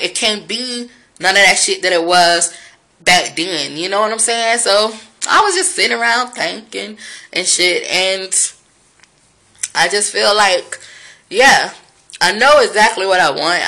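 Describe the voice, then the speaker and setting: A woman's voice: mid-range voice, bright, forceful tone. A woman, talking frankly and profanely to her viewers in a reflective vlog monologue. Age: 30s.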